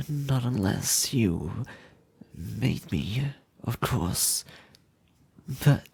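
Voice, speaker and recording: Man acting a breathy, slow, unhinged male kidnapper. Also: medium pitch, a little mellow, no echo, exaggerated voice. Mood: soothing, threatening. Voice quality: breathy, husky, often dropping into whisper. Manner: halting, drawn-out, sweetly menacing delivery. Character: insane sadistic male kidnapper, obsessively doting and menacing. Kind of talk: ASMR roleplay.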